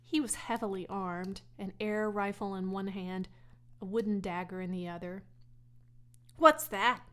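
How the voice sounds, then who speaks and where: A woman's voice: medium pitch, flat delivery, moderate pace, darkish tone, smooth. A woman reading a children's storybook aloud as a scripted audiobook narration. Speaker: audiobook reader.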